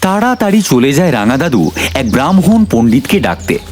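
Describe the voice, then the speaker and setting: Male cartoon storyteller, lively delivery. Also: mid-range voice, moderate pace. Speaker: narrator. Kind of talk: dramatic cartoon storytelling narration.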